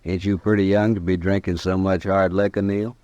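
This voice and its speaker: An actor playing a surly, menacing drifter with drawling cowboy talk in an old Western radio drama. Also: male voice, sits low, flat delivery, brisk, warm tone, dry recording, lo-fi sound, close to an everyday voice. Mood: surly, probing. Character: surly, menacing drifter from Texas, itching for trouble.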